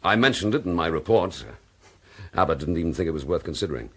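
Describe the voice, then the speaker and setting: An actor playing a determined, dryly courteous British police detective in a radio drama, husky and clipped. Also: male voice, deep voice, expressive, fast, fairly bright tone, heavily acted. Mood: musing.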